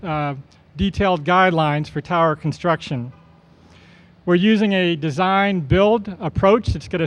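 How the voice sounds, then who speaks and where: A man speaking as an official host at a public ceremony, in a formal, measured and slightly halting way. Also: sits high, dull audio. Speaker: airport official hosting a ceremony.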